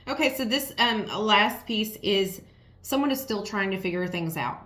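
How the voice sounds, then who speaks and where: Hoarse woman tarot reader, mid pitch, blunt and repetitive, roomy echo. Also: animated, normal speed, fairly bright tone. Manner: frank, repetitive, talks straight to the viewer. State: raspy, strained voice from yelling. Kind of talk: casual tarot reading to viewers.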